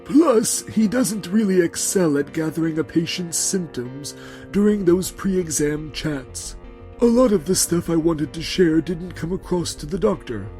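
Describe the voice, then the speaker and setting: A man plays a frank, particular VIP patient in a manga dub. His voice sits high for a man yet stays soft and mellow, measured and formal as he speaks his mind bluntly. Music runs faintly behind him. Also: expressive. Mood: critical, frank. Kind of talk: animated romantic-comedy dub acting.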